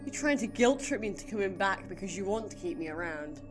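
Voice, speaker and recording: A woman's voice: mid-range voice, animated, fast, music in the background, close to an everyday voice. A woman playing a hurt, defiant young companion in an audio drama, confronting someone with bitter, emotional accusations. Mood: cold, wounded.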